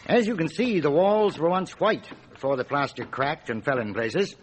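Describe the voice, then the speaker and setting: A man's voice: a little high, moderate pace, slight background noise, lightly acted. A man playing a talkative, obliging local police inspector in a radio drama, explaining things in detail with slight hesitation. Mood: obliging, explanatory.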